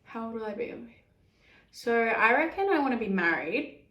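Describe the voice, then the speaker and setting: A woman's voice: on the high side, big pitch swings, normal speed, fairly bright tone, echoey room, lo-fi sound. A young woman chatting casually in a Q&A, giggly and full of "like" fillers. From Australia. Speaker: YouTuber answering fan questions. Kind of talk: casual Q&A chat.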